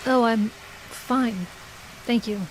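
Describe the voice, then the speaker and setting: An actress playing a brisk, defensive, skeptical literary agent in an audio horror drama, with dry, guarded delivery. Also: female voice, on the high side, steady tone, slight background noise, poor audio. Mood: guarded, brittle.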